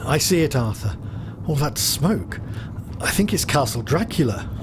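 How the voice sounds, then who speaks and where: An actor playing a nervous, fussy gentleman doctor in an audio drama, polite and precise. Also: fairly high pitch, big pitch swings, measured pace. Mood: alarmed. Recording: clear vehicle noise behind.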